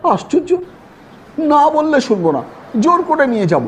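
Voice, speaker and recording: A man voicing a forceful, impatient friend in an audio storybook, exclamatory and insistent. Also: very high-pitched, sing-song, normal speed, a little hiss or hum, pitched above the performer's own voice. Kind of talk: audio storybook character acting.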